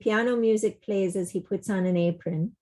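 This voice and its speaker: A woman's voice: medium pitch, fairly even intonation, moderate pace, mellow. A woman reading stage directions as scripted narration at a virtual play table read. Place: indoors, on a video call.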